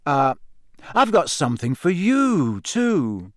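A man's voice: on the high side, huge pitch swings, takes it slowly, fairly bright tone, no echo, studio quality, exaggerated voice. A man voicing a good-natured teenage schoolboy hero in a storybook, informal and direct. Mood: reassuring.

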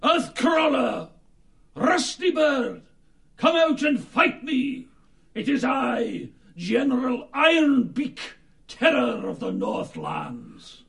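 General Ironbeak, a swaggering bully of a raven who bellows his own titles and sneers at everyone around him. His voice sits very high and rough with grit, and it leaps wildly up and down as he blusters. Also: very animated, takes it slowly, full character voice. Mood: boastful. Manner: blustering, contemptuous, shouting challenges. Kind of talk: audiobook character voice.

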